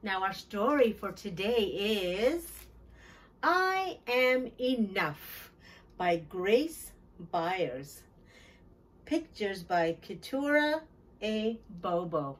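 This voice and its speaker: A middle-aged woman hosting a children's storytime, reading a picture book aloud with deliberate, clear phrasing for young listeners, picked up from a little distance. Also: mid-range voice, very animated. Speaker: children's storytime host and teacher. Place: small decorated classroom-style storytime corner, indoors.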